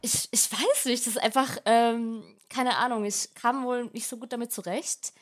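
A woman's voice: on the high side, steady tone, normal speed. A woman in her twenties chatting casually as a student podcast guest, with a giggly way of talking. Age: 20s. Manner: giggly, often laughing while talking. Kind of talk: casual chat.

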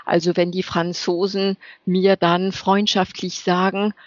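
A woman working as an interpreter, answering interview questions in a lively, emphatic way and laughing often. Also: fairly low pitch, a little slow, dry recording. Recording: dull lo-fi recording.

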